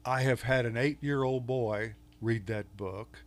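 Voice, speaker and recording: An older man from Texas with a Texan accent, a guest on an interview show, talking in a halting way with many fillers and restarts. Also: mid-range voice. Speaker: podcast guest, author. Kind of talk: casual interview talk.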